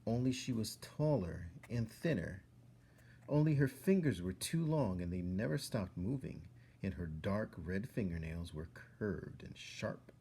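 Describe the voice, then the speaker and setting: A man reading a children's story aloud as a storyteller, expressively and with dramatic pauses. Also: low-pitched, animated, warm tone, a little hiss or hum. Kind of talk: narrated story read-aloud.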